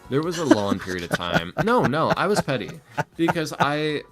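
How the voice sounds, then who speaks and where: A young man chatting casually as a podcast guest, slangy, animated and jokingly exasperated about himself. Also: fairly high pitch, moderate pace, music in the background. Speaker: ASMR roleplay audio creator, podcast guest.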